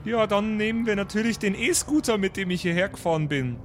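A man's voice: high-pitched, a little fast, slight background noise. A man, playing a tabletop role-play in casual improvised chat.

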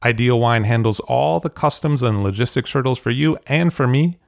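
A man's voice: medium pitch, normal speed, creaky, no room sound, studio quality. A man hosting a podcast, reading an ad script evenly and asking interview questions. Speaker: podcast host.

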